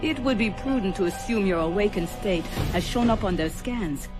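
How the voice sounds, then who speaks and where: A woman playing a calm, resolute ship's artificial intelligence in a motion comic, speaking in a precise, clinical manner. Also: medium pitch, moderate pace, over music, natural voice. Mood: matter-of-fact, resolute. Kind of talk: scripted motion comic acting.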